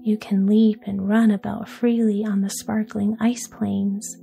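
A woman voicing a gentle male reindeer in a soft whisper, in a storybook tale. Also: medium pitch, flat delivery, measured pace, vocal fry. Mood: proud.

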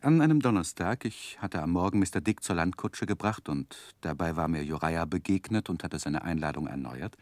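A man acting as a reflective narrator recalling his boyhood in a radio play, with measured, expressive literary storytelling. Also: sits low, dry recording. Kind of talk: scripted narration, 1960s German radio play.

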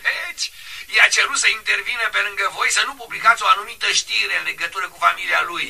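An actor in a Romanian radio drama playing a confident associate, reporting emphatically in a sharp, rough voice from slightly far off. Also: male voice, sits high, full character voice. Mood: pleased, assured. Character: confident associate reporting good news by telephone.

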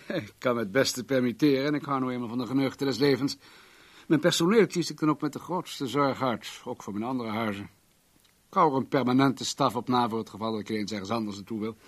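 A man's voice: mid-range voice, a little fast, breathy edge, dry recording, close to an everyday voice. A man acting in a radio play as a self-assured, rich businessman and bon vivant, lecturing in a leisurely, pompous way. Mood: suave, condescending.

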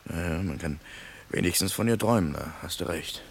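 Radio play: grumbling soldier sidekick, gravelly low register, sceptical and dryly sardonic. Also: male voice, brisk. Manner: sardonic, hesitant, muttering. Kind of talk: German radio play acting.

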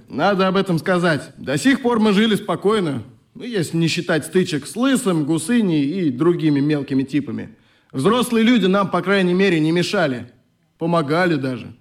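An actor playing a passionate young leader of a children's club in a radio play, articulate and commanding. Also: male voice, high-pitched. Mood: brisk, earnest.

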